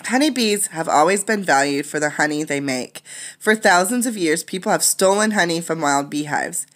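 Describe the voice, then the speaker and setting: A woman's voice: lowish voice, expressive, normal speed. A woman teacher reading a children's nonfiction book aloud, adding explanatory asides for young listeners.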